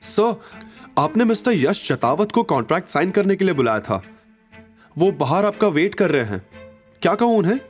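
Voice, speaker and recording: A man's voice: high-pitched, big pitch swings, moderate pace, faint music behind, voice pushed up. A man voicing a polite, deferential female office secretary in an audio drama. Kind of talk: Hindi audio drama acting.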